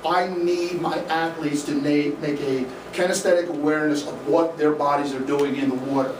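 A middle-aged Midwestern man presents to a live crowd in a dim event room, speaking energetically and conversationally, filling pauses with "uh". His fairly high voice reaches the camera from a distance and sounds roomy. A faint hum sits behind him. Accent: Midwestern American. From American Midwest. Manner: energetic, gesturing, conversational with fillers. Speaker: swim coach giving a talk. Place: dim indoor event room, in front of a projection screen. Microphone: room camera from the audience, no mic seen. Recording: echoey room, voice from afar, faint noise.

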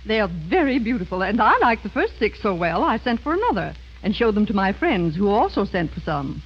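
A pleased housewife in an old radio ad, husky and lively in pitch, reading her letter. The 1930s sound is muffled. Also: brisk. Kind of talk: 1930s radio advertising acting.

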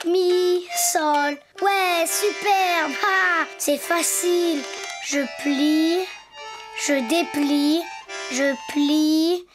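Tiny cheerful donkey kid from a cartoon, super high voice, slow n singsongy, bit of music behind. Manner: playful, exclaiming, simple singsong phrases. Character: cheerful imaginative little boy donkey, preschool age. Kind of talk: children's cartoon dialogue, talking to himself and viewers.

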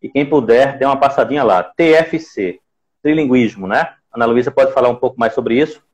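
A man in his forties with a Recife accent hosts a live online conversation. He speaks didactically at a middle pitch and repeats phrases to make his point. He is on an earphone mic in a room at home. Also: fairly quick. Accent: Recife, northeastern Brazilian. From Pernambuco, Brazil. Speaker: live stream host. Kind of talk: conversational interviewing on a live stream. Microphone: earphone mic on a video call.

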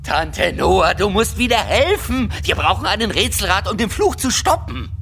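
An actor playing a clever, urgent male raven with a brisk, exasperated manner in a children's radio play. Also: medium pitch, sing-song, creaky, a bit bright. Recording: music behind.

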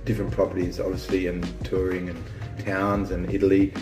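A man's voice: sits low, monotone, a little fast, roomy sound, music in the background. A man who grew up in Australia, hosting a casual chat about small-town life, rambling with lots of restarts and ums. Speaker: vlog host.

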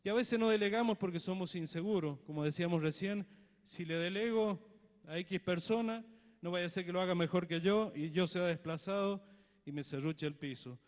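A man's voice: sits high, normal speed. A middle-aged man with a Cuyo Argentine accent preaching a sermon on a handheld mic in a church hall, chatty, with frequent tag questions. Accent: Cuyo Argentine Spanish. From Mendoza, Argentina. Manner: conversational preaching, tag questions, acts out little dialogues. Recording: dull lo-fi sound, long hall reverb.

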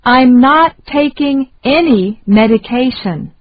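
A woman reading short phrases slowly and deliberately for learners to repeat. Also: sits high, lively intonation, lo-fi sound, slight background noise. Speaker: English lesson reader.

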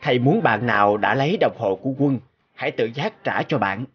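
A man playing a calm, fair schoolteacher in a cartoon, measured and instructive. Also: mid-range voice, muffled recording. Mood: calm, firm. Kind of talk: cartoon dubbing. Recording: clear music behind.

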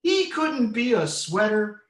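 A man doing a squeaky, panicky tiny hen. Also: big pitch swings, heavily acted. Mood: admiring. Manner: high, drawn-out and squeaky. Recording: roomy echo.

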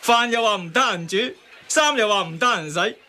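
A man's voice: high-pitched, animated, moderate pace, sharp tone, heavily acted. An actor playing a hot-tempered, griping taxi driver and family man in a radio drama, with a Hong Kong Cantonese accent. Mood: annoyed, griping. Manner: griping, exclamatory. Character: grumbling male taxi driver, hot-tempered husband and father.

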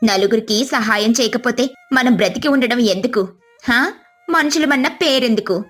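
Woman acting excitable village wife, high voice. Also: normal speed. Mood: reassuring. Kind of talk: moral story character voices.

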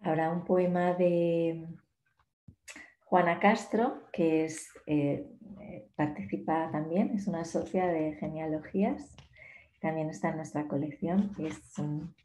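Woman slowly reading poetry in an echoey room. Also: sits low, steady tone, warm tone, a little hiss or hum. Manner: slow, measured poetry reading. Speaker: poet giving a talk. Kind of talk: reading poems aloud in a talk. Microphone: laptop webcam on a video call.

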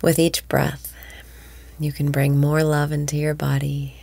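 Woman, meditation guide, slow pace, gravelly, whispering, soothing. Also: deep voice, completely flat delivery, dark tone, no echo.

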